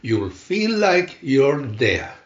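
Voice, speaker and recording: A man reading a scripted picture storybook aloud in short, deliberate phrases with pauses between them. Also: medium pitch, sing-song, roomy sound, muffled recording. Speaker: reader of picture-story booklets.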